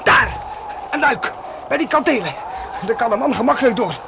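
A man playing a bold young adventurer in a radio play, a brisk, teasing and practical companion. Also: very high voice, lively intonation, creaky, full character voice. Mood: urgent. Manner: brisk, challenging, short urging phrases. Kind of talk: Dutch radio play acting. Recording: noisy old radio drama recording.

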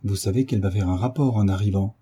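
A man's voice: fairly low pitch, quick pace, mellow, husky. A man voicing a knowing schoolgirl of fifteen with a pointed, prim way of talking, in a storybook reading. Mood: advising.

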